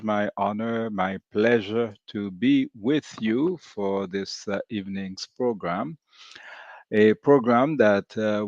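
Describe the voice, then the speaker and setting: A man from Haiti, hosting a commentary program in a halting, measured way with frequent hesitations. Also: fairly low pitch, dry recording. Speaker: host. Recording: dull lo-fi dry recording.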